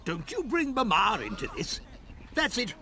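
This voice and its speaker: Whiny, pompous fat governor. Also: male voice, sits high, sing-song, moderate pace, creaky, bright, forceful tone, a little hiss or hum, full character voice. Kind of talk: video game cutscene acting. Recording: game audio with music behind.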